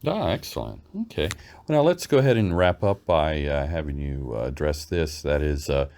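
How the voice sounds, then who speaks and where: A man with an extremely deep voice hosts a casual interview. His pitch moves in very lively swings as he puts his questions in short, paused phrases. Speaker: interviewer, host.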